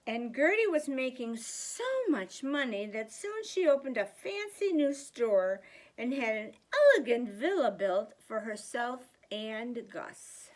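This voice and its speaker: An older woman reads a children's storybook aloud like a grandmother, with deliberate, expressive emphasis for young listeners. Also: a little high, rough recording. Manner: slow, deliberate, animated emphasis.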